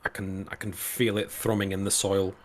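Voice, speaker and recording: A man's voice: sits low, flat delivery, moderate pace. Guy doing a worn out woman begging for help, kinda breathless and urgent. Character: weakened woman seeking her lost power.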